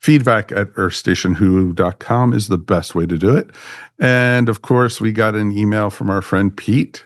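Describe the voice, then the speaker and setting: A man's voice: fairly low pitch, normal speed, no room sound, clean studio sound. A man hosting a podcast in casual chat, rambling along with a fan's enthusiasm. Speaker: podcast host.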